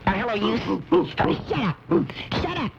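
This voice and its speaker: A man voicing an odd squeaky character in a comedy radio call-in sketch, speaking in curt, halting bits. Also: sing-song. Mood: curt, deadpan. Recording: muffled, very noisy off-air cassette.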